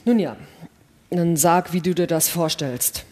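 A woman's voice: on the low side, unhurried, dark tone. An actress playing a distrustful, defensive female astronaut, frightened and scornful, with curt accusing retorts in a sci-fi radio play reading. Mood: wary, resigned. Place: large hall, stage reading. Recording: echoey hall, long reverb.

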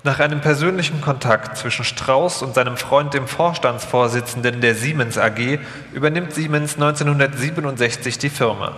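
A man's voice: medium pitch, moderate pace, hardly any pauses, fairly bright tone. A man reading scripted documentary narration live, in a formal, factual manner. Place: large hall, live stage reading. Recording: echoey hall, long reverb.

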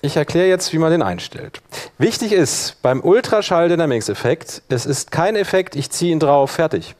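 Man explaining audio software live. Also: on the high side, expressive, normal speed. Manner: thinks aloud while operating the software. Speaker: workshop instructor.

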